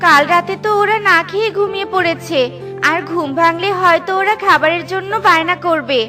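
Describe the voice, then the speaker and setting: A woman's voice: very high voice, a little fast. A woman voicing a worried widowed mother bird. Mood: tender. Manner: pleading, tender. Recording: cartoon with music behind.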